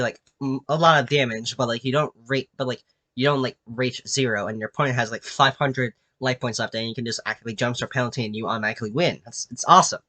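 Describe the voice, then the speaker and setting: A man with a nasal, cold-stuffed voice explains things in a loose, meandering way, full of fillers. His tone is bright and a little sharp. The sound is dry and close. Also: medium pitch, dry recording. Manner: filler-heavy, many restarts and "like"s. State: sick with a cold. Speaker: YouTube creator presenting his own card designs. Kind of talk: casual rambling explanation.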